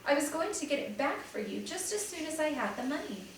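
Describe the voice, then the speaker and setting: A woman's voice: high-pitched, very animated, a little fast, noisy background, pitched above the performer's own voice. A woman reading the part of a troubled young male student who admits a wrong. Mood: remorseful. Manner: subdued and apologetic. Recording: very echoey room, distant mic.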